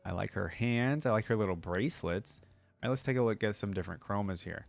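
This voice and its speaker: A man's voice: fairly low pitch, fast talker, creaky. A man giving casual, informal reaction commentary, thinking aloud with exclamations as a video creator.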